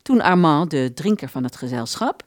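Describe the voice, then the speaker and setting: A woman narrating a literary audio drama from a script in an expressive storytelling manner. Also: sits low, moderate pace, no echo, clean studio sound.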